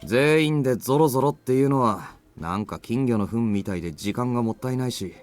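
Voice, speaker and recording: Fast-talking, casual anime guy, played by a man. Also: medium pitch, animated, a little mellow, natural voice. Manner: casual, blunt, rapid. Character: laid-back, blunt teenage male friend in an anime. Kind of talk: Japanese visual novel voice acting. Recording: music under the voice.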